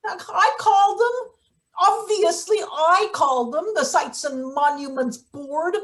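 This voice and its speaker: An actress playing a bitter, paranoid, scheming maintenance worker in a play reading, halting and sardonic. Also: female voice, very high-pitched, fairly bright tone, heavily acted. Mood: anguished confession. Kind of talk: live table read of a satirical stage play.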